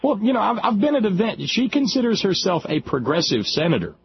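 A man's voice: sits high, very animated, breathy edge. Chatty man hosting call-in radio. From West Virginia. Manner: chatty, halting, repeats words. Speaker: radio talk show host. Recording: dull radio broadcast with faint noise.

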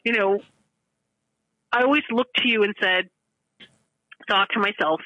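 Bright, forceful middle-aged woman caller. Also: medium pitch, dry recording, muffled recording. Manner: halting, repeats and restarts sentences, earnest. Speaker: regular caller to a show. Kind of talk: candid emotional conversation.

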